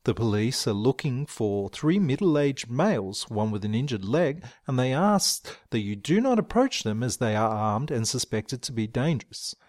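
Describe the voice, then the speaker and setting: A man's voice: mid-range voice, sing-song, moderate pace, few pauses, darkish tone, pitched below the performer's own voice, natural voice. A man playing a formal, matter-of-fact Australian radio newsreader within an audio story. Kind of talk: radio news bulletin inside a story.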